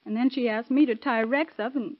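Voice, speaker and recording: An actress playing an anxious farm child in a 1930s radio drama. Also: female voice, on the high side, steady tone, brisk, lightly acted. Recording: dull, muffled vintage radio recording.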